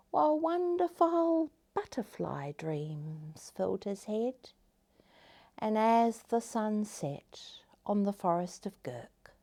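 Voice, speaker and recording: A woman storyteller hosting a story time, reading to children in a gentle, expressive way with deliberate phrasing. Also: on the low side. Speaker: storyteller, host.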